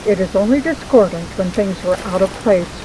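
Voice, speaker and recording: Woman acting as a wise calm magic teacher, formal wording, mid pitch, water noise all around. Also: fairly quick, natural voice. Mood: serene instruction. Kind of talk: audio drama dialogue. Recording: noisy, with water sound effects behind.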